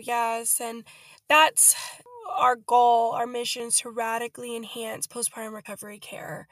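High-voiced upbeat woman guest. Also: fairly bright tone, dry recording. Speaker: podcast guest, company founder and author. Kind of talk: casual interview.

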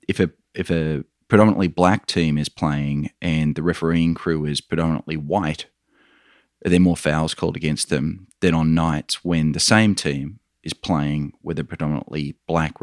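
A man's voice: very deep voice, dry recording, studio quality. A man with an Australian accent explaining his research conversationally as an interview guest.

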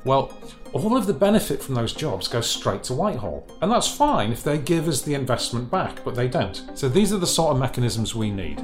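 Animated Northeastern English man. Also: mid-range voice, brisk, over music. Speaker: regional mayor. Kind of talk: panel discussion answer.

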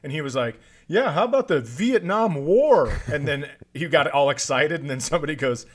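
Guy with slightly high voice doing podcast banter, teasing his buddy, bit sweary. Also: lively intonation, normal speed. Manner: teasing, joking, profane. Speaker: comedy podcast co-host. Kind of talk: casual comedic chat.

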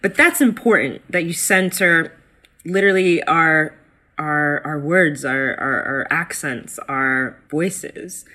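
A woman co-hosts a podcast in easy, animated conversation, full of ums and likes. Her voice has a bright, crisp edge. It leaps upward in pitch when she gets excited. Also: slow pace. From United States. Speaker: guest co-host.

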